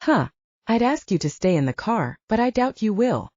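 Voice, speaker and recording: Woman as wary young-hearted wizard man, expressive pitch. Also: normal speed, no room sound, lo-fi sound. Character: wary male wizard, dutiful and protective.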